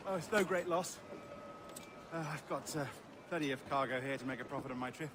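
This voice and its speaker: An actor playing a polite, hesitant gentleman merchant who is a husband and father, in a game cutscene. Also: mid-range voice, brisk, noisy background, close to an everyday voice. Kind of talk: video game cutscene acting.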